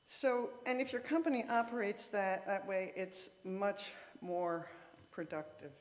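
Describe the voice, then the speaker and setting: A woman's voice: medium pitch, unhurried. A woman giving an informal lay sermon in a conversational, explanatory way, with short emphatic statements. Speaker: lay speaker at a church service. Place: church sanctuary, speaking to a congregation. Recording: reverberant hall with long echo.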